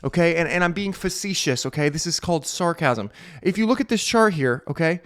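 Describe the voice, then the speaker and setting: Male crypto vlogger, a bit sick and nasal, lively swooping delivery, pumped and sarcastic. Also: fairly high pitch. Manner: hyped, sarcastic, fast-talking. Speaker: crypto YouTuber. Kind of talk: excited trading chart walkthrough.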